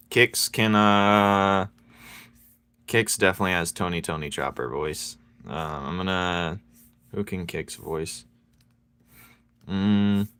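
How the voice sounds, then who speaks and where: A man chatting casually with his viewers while he draws, playful and quick to laugh as he banters with them. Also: low voice, fairly bright tone, clean tone. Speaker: streaming artist. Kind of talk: casual chat while drawing.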